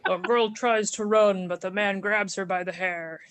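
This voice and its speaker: A woman's voice: medium pitch, steady tone, normal speed, a bit bright. A woman casually improvising descriptions of comic panels, amused and hesitant as she searches for words.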